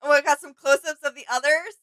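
A woman's voice: sits high, fairly even intonation, fast, a bit bright. A woman in her twenties co-hosting a casual reaction chat in a small home room. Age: 20s. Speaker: reaction video co-host.

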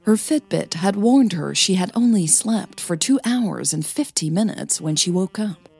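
A woman's voice: lowish voice, animated, measured pace, gravelly, darkish tone, husky, soft background music. A woman hosting a podcast and narrating a scripted audio story.

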